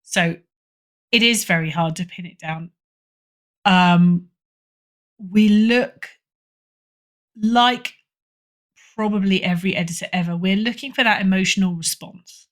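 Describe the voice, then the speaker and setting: A woman with a medium-pitched voice speaks as a guest in a casual interview. She is thoughtful in how she explains things, pausing to give weight to key words. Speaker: podcast guest, fiction editor.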